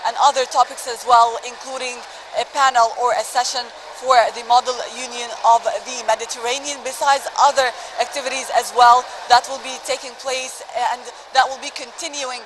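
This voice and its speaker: A woman's voice: on the high side, level tone, normal speed, few pauses. A woman reporting live and fluently from an outdoor event. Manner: fluent, announcing. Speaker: field reporter. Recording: noisy outdoor live feed.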